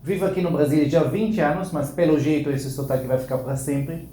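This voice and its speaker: A man's voice: fairly high pitch, dark tone, roomy sound. A man in his forties from Israel with an Israeli accent, telling his story as an interview guest in fluent but slightly accented Portuguese. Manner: fluent, fast, lightly accented Portuguese with small grammar slips. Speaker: financial educator guest.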